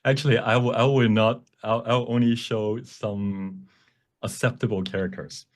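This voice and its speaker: A man presenting a live software demonstration at a workshop, explaining as he goes in a halting way. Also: mid-range voice, steady tone. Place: online presentation at a computer.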